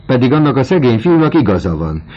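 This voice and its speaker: A man's voice: medium pitch, very animated, voice pushed up. A male reader voicing a timid young woman who speaks in an anxious, pleading way. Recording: dull lo-fi audiobook recording.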